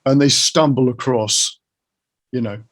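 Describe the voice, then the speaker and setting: A middle-aged man with a husky, gravelly voice talking earnestly and reflectively in a casual interview, offering his opinions. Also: mid-range voice, measured pace.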